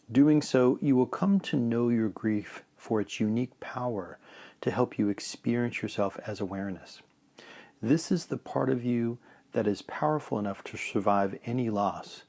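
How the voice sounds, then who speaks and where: A man leading a guided meditation in a calm, measured way, letting phrase ends trail off softly. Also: lowish voice.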